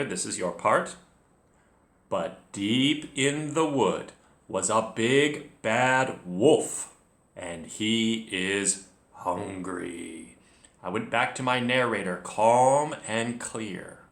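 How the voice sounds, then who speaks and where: A man with a bright, animated voice guides young readers through a story. He talks to the learner directly and narrates clearly at an unhurried pace, in a room with noticeable echo. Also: on the low side. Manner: expressive, addresses the learner directly. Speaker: children's reading teacher, storyteller. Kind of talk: encouraging read-along lesson with calm, clear story narration. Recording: echoey room.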